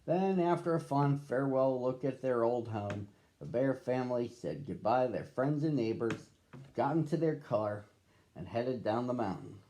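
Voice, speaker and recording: Man, mellow dark tone, children's read-aloud, expressive deliberate phrasing, storytelling delivery. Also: mid-range voice. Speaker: storybook reader.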